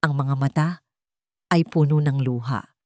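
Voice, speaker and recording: A woman narrates a scripted dramatic story in a measured delivery, pausing between phrases for effect. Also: rumbling low, fairly even intonation, dark tone, dry recording, clean studio sound.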